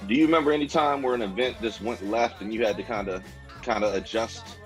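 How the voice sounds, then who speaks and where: Male radio co-host, lower register, informal slangy interviewer, music bed faintly underneath. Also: fast talker. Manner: slangy, informal, addresses listeners. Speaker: radio show co-host and event host. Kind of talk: casual live radio interview.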